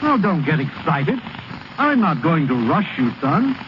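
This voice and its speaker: Slick, animated, high-voiced gambler. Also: male voice, measured pace. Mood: falsely genial. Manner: patronizing, breezily reassuring. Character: smooth-talking, scheming male gambler, falsely genial. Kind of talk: 1930s radio acting. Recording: noisy, muffled vintage radio recording.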